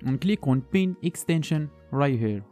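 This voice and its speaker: A man's voice: fairly high pitch, animated, a little slow, warm tone, faint music behind. A man narrating a computer screen tutorial as host, talking through each step in a plain instructional way. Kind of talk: screen-recorded tech tutorial voice-over.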